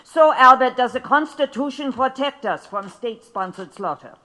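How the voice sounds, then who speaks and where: An actress playing a sarcastic, hard-headed radical activist in an audio drama. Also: female voice, high-pitched, lively intonation, moderate pace, pushed and bright. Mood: sardonic. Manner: dry, sarcastic. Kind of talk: radio drama acting.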